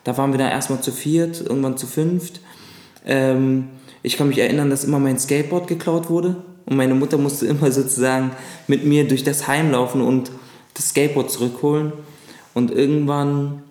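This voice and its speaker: A man's voice: mid-range voice, fairly even intonation, darkish tone. Hesitant man in an echoey room. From Lebanon. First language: Arabic. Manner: hesitant, recalling childhood memories with frequent pauses. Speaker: guest, actor. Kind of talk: casual interview chat.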